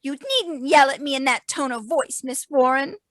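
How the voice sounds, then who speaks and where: A woman's voice: sits high, normal speed, a bit bright. A woman playing a spiteful, defiant housemaid in an old-time radio mystery. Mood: pointed, spiteful. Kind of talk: golden-age radio mystery acting.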